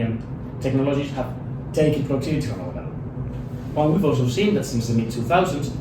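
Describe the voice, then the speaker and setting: A man's voice: medium pitch, lightly acted. Young man as an economist, explaining data, heavy echo, audible background noise. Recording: very echoey room, noisy, far mic.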